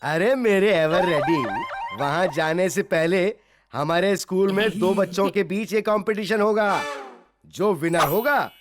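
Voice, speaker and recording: A man's voice: high-pitched, a little slow, background noise. A man voicing a jovial, chatty male school teacher addressing pupils in a cartoon. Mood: jovial announcing. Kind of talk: Hindi kids' cartoon dubbing.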